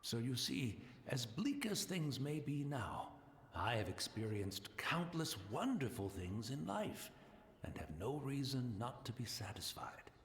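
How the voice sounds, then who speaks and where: An actor playing a gentle, courteous blind old man who keeps a tea house, rambling in an old-fashioned way in a game cutscene. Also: mid-range voice, sing-song, measured pace, roomy sound, noisy background. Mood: wistful. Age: older. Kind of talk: video game cutscene voice acting.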